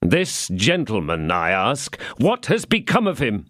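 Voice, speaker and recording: A man's voice: lowish voice, huge pitch swings, unhurried, a bit bright, no room sound, clean studio sound, full character voice. A man voicing a stern, threatening young swordsman who demands answers.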